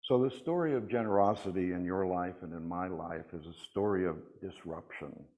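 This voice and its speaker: A man delivering a prepared, sermon-like reflection at a worship service in deliberate, rhetorical phrases with pauses. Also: low voice, phone-quality sound.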